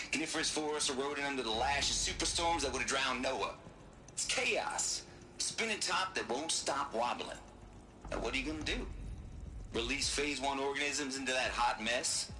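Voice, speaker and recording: A man's voice: medium pitch, lightly acted. An actor playing a wry male scientist giving a folksy, lecturing recorded message in a video game. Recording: game audio over music.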